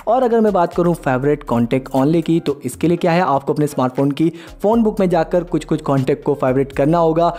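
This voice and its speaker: Higher-voiced man giving casual tech tips. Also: animated, fast talker, hardly any pauses, warm tone. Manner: informal, chatty, addresses viewers as buddy. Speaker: tech tutorial host. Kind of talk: casual smartphone tips tutorial.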